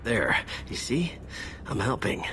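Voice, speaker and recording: A man's voice: mid-range voice, very animated, takes it slowly, bright, forceful tone, faint music behind, full character voice. Strained, agitated wounded mercenary grunt, man. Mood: grudging, nervous. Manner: agitated, bitter, blurting. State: in pain, strained. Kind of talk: video game character acting.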